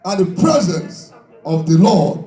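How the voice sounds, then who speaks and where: A man's voice: sits high, big pitch swings, measured pace, warm tone. A man preaching a fervent sermon, declaiming and repeating phrases emphatically as he calls for responses from his congregation. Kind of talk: fervent Pentecostal-style sermon with call and response. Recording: echoey hall PA, distant mic, background music.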